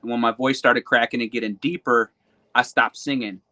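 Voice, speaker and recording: Rambling laid-back man, mid-pitched voice. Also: steady tone, moderate pace, pushed and bright. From Michigan. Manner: rambling, repeats words, many fillers. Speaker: guest hip-hop artist. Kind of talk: casual interview chat.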